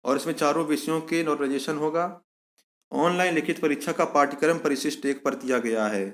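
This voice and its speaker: A man's voice: fairly high pitch, brisk, a bit bright. A man giving an instructional walkthrough of an official notice, repeating key points for emphasis. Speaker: exam information channel host. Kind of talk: explanatory walkthrough of a recruitment notice.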